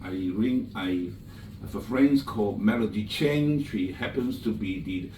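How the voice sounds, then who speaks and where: A man's voice: lowish voice, measured pace, warm tone, slight background noise. A man from Malaysia, master of ceremonies at a book launch, telling chatty anecdotes in slightly non-native English. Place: bookshop event room. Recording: roomy, from a distance.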